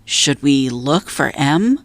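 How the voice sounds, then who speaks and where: A woman's voice: a bass voice, animated, slow pace, no room sound, voice pushed down, exaggerated voice. Woman voicing an eager young storybook girl. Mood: curious. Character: young girl, eager, curious, bossy little sister in storybook.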